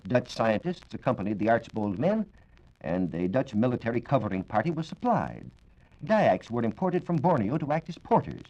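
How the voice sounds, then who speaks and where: An American man explains science in a formal, scripted radio manner from the 1940s. His pitch moves widely as he lays out each point in measured phrases. The recording is dull and narrow, like an old broadcast. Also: warm tone. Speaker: science reporter. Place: radio studio.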